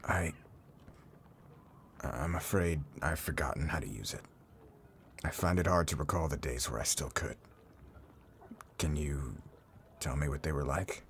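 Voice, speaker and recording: A man as a very deep-voiced, forgetful old sailor. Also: creaky, slight background noise, exaggerated voice. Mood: wistful, hesitant. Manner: hesitant, halting. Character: forgetful, frail old former sailor, apologetic and wistful. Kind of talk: cinematic audio roleplay acting.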